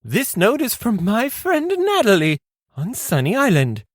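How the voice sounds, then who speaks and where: A woman's voice: mid-range voice, huge pitch swings, measured pace, no room sound, studio quality. A woman playing a fussy, melodramatic male gourmet who presides as judge in an amateur radio play. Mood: indignant. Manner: melodramatic, indignant.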